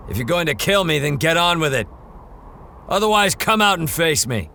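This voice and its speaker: An actor playing a hard-bitten lone monster hunter in a science fiction audio drama, speaking in terse, dramatic lines. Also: male voice, fairly high pitch, big pitch swings, moderate pace, gravelly, bright, forceful tone, a little hiss or hum, heavily acted. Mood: defiant. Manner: terse, self-narrating, dramatic.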